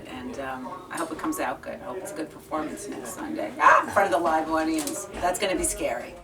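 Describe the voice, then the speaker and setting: A woman's voice: low voice, fairly quick, far from the mic. A woman performer talking casually and reflectively in an interview. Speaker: actress and monologist.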